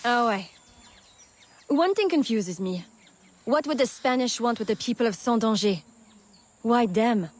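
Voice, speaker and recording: High-voiced bold, wry scout woman. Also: expressive, unhurried, pauses often. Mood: brisk, resolute. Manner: confident, wry, playful. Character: bold, sardonic female smuggler scout, teasing and brave. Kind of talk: video game character acting.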